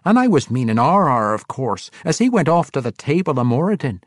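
A man's voice: medium pitch, sing-song, brisk, no room sound, pitched above the performer's own voice. Guy putting on a serious dwarf priest voice, dry studio read. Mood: earnest. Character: dwarf high priest, awed and earnest.

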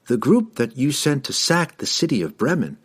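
A man voicing a sly halfling in a fantasy audiobook, feigning meek obedience in measured, persuasive speech. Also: mid-range voice, big pitch swings, husky, pitched above the performer's own voice.

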